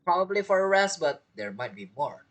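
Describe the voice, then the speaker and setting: Bright, animated gamer thinking aloud. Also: medium pitch, fairly quick. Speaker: game streamer. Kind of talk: casual live gameplay commentary. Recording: faint game music behind.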